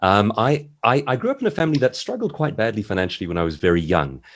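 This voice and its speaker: A man's voice: lowish voice, sing-song, fast talker. A South African man giving conversational, articulate advice as a guest speaker on a video call. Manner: articulate, explanatory, acts out short quotes. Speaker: author, seminar guest speaker. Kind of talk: conversational expert advice in an online seminar.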